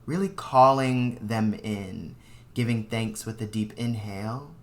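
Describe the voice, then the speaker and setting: A meditation guide speaking soothingly and unhurriedly in short phrases with long pauses, leading a guided meditation. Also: mid-range voice, heavy background noise.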